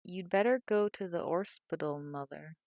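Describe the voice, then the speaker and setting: A woman voicing a patient, guarded young working-class daughter with a Cockney accent, answering quietly. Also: on the low side, normal speed, dry recording, studio quality, close to an everyday voice. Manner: quiet, evasive.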